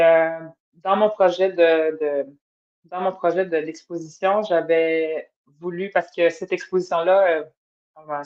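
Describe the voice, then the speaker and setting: A woman from an Atikamekw community, a guest artist speaking casually and hesitantly on a podcast. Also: deep voice. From Atikamekw community in Quebec. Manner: hesitant, many fillers and restarts. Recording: dull lo-fi sound.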